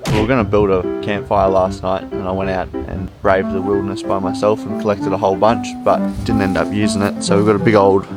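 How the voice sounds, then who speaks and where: Man, dry matter-of-fact chat, very deep flat voice, loud music behind. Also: fairly quick, few pauses. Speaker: camper on the trip. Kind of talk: casual chat. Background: loud background music.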